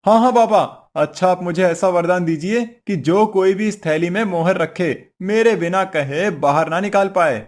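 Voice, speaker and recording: A man's voice: high-pitched, moderate pace, hardly any pauses, lightly acted. A man voicing a poor, simple woodcutter husband in a cartoon story, earnest, meek and fretful. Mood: puzzled, eager. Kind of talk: Hindi cartoon story voice acting.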